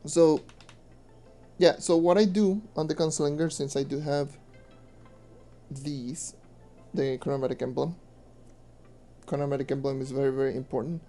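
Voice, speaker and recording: Young male streamer, hoarse, rambling casual explainer; dark, mellow timbre over faint background music. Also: a little high, steady tone, measured pace, long pauses. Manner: rambling, loose with fillers, mutters to himself. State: hoarse, losing his voice. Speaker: game streamer. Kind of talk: casual gameplay commentary and Q&A.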